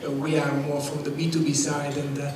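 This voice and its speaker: A man with an Italian accent talks through a conference panel at a steady, flat pitch. He sounds eager but leans on fillers. He is heard from a distance in an echoing, noisy hall. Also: a little fast. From Italy. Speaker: fintech panelist. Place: conference stage.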